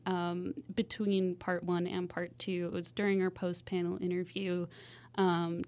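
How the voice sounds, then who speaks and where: A woman hosting a podcast in a casual, conversational way, phrasing things a little tentatively. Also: on the low side. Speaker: podcast host.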